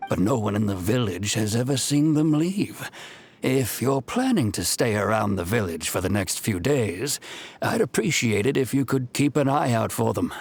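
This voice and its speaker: A man voicing a kindly village chief in a video game, polite and measured. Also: mid-range voice, lively intonation, darkish tone, breathy edge. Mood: troubled. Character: kindly, courteous village chief, called uncle. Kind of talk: video game character dialogue.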